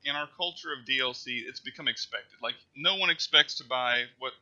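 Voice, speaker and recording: A man with a very bright, sharp voice co-hosts a casual gaming podcast. He talks in a teasing, joking way at an ordinary pitch. His mic sounds dull and lo-fi. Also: a little fast. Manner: teasing, joking back-and-forth. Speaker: podcast co-host.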